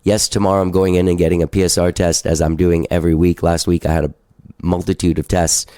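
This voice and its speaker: A man's voice: low-pitched, level tone, fast talker, dark tone, no room sound, clean studio sound. A male podcast host with a stuffed-up nose, chatting casually and loosely, swearing now and then and laughing at himself.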